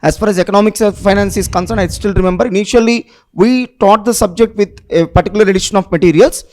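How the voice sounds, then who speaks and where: A man interviewing a former student, asking deliberate questions and giving encouraging remarks as a coaching teacher. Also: high-pitched.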